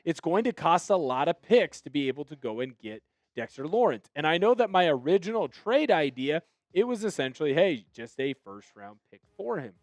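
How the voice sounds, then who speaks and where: A young man hosting a sports news commentary, talking with firm opinions in a fairly high voice. His delivery swings into animated excitement at times. The sound is dry and close, with no room echo. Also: normal speed. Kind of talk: solo sports commentary video.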